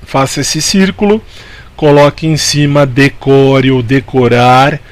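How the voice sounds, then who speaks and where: A man's voice: mid-range voice, fairly even intonation, slow pace, no echo. A Brazilian man giving an informal exam-prep law lecture, repeating key points and answering his own questions in a didactic way. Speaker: law teacher.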